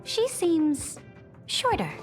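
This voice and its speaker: A woman's voice: very high voice, huge pitch swings, drawn-out words, faint music behind, exaggerated voice. A woman voicing a proud young conquering empress in a video game, speaking formally and haughtily. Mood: regal, musing. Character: proud young-looking female conquering emperor, regal and imperious. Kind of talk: video game character acting.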